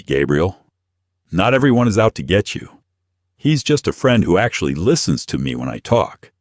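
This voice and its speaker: A man's voice: deep voice, dry recording, studio quality. A man reading a dramatic scripted audio story as its narrator.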